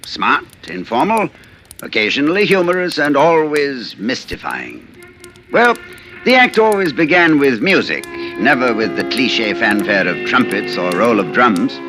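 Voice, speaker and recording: A man's voice: mid-range voice, sing-song, a little slow. An actor in a 1940s radio drama playing an urbane, talkative male stage mentalist who speaks in a suave, theatrical, mannered way. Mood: wry, chatty. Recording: old radio recording, faint music.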